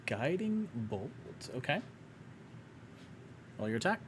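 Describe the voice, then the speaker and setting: A man's voice: mid-range voice, sing-song, background noise. Swearing, laid-back young male game master. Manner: profane, joking, quick to laugh. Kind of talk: casual tabletop game running.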